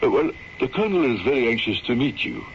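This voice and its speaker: An actor playing a courteous, reassuring Scottish country doctor with a calm, deliberate manner in an old-time radio drama. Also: male voice, mid-range voice. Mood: grave. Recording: dull old radio broadcast, some noise.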